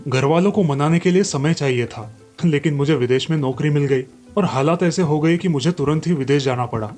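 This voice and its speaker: A man's voice: fairly high pitch, a little fast, a little mellow, soft background music, close to an everyday voice. Man playing an earnest, apologetic village father. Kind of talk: animated fairy tale dialogue.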